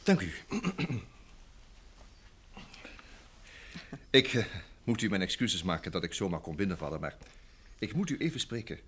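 A man's voice: fairly low pitch, sing-song, fairly quick, a bit bright, a little hiss or hum. A man acting a polite, busy secretary in an old Dutch radio drama, speaking in hesitant phrases with repeated words. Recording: vintage radio drama recording.

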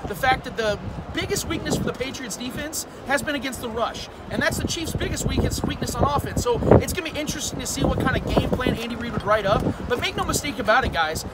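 A man giving opinionated postgame fan analysis outdoors in a stadium at night, emphatic and forceful. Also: very high voice, expressive, fast. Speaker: guest podcaster. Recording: loud outdoor stadium noise.

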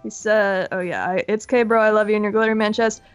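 A woman's voice: medium pitch, fairly even intonation, fast, smooth, soft background music, lightly acted. A woman voicing an exasperated young woman reading fan fiction aloud with dry, put-upon delivery in a Minecraft roleplay. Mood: reluctant, reading aloud.

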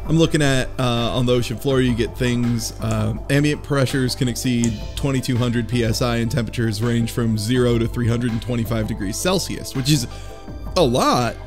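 A man's voice: mid-range voice, moderate pace. Rambling man chatting over music. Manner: rambling, animated retelling with acted-out quotes. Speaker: podcast panelist. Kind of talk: casual chat recapping a visual novel.

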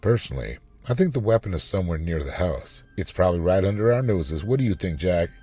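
A storybook police detective, acted by a male narrator, blunt and colloquial. The voice is low, with lively swings. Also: fast, husky, no echo. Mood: matter-of-fact. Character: gruff, casual male police detectives talking shop.

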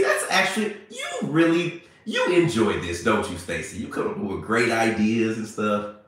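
A man, chatting playfully with viewers on a livestream, a little hoarse and congested. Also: medium pitch. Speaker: livestream host. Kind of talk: casual livestream chat with viewers. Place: small bright room indoors. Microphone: phone at arm's length. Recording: very echoey room.

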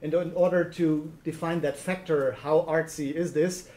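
A man's voice: fairly high pitch, fairly even intonation, normal speed, warm tone. A man in his thirties from Germany, speaking English with a German accent, giving a chatty conference talk with fillers and laughs. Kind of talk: presentation talk. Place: lecture room. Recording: echoey lecture room.

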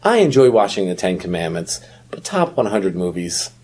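A man's voice: low voice, sing-song, normal speed, a little mellow, husky. A man in casual, wisecracking chat as a podcast co-host.

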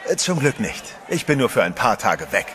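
A man's voice: medium pitch, very animated, moderate pace, a bit bright, some chatter behind. An actor playing a composed adventurer who narrates his own story in a horror radio play. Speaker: first-person narrator. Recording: music bed under the voice.

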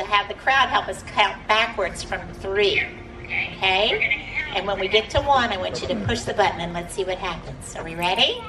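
A woman's voice: medium pitch, pushed and bright. A woman hosting an outdoor night event on a handheld microphone, upbeat and coaxing as she talks to the crowd and a child. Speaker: event host on stage. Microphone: handheld mic through speakers, filmed from audience. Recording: echoey PA sound from far off, crowd noise.

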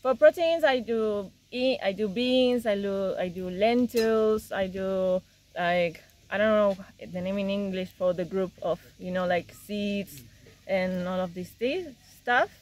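A woman's voice: mid-range voice, measured pace, a little mellow, slight background noise. Young Argentine-born woman chatting outdoors. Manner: repeats words while searching for them. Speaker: visiting guest. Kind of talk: casual outdoor chat. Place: rural yard outdoors.

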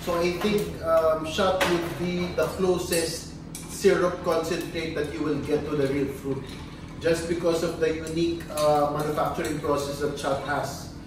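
A man's voice: fairly high pitch, level tone, normal speed. A man with a Filipino accent hosting a casual product demo, mixing English and Tagalog. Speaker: host. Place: small tiled demo kitchen, indoors. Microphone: distant camera microphone. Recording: echoey room, distant mic, background noise.

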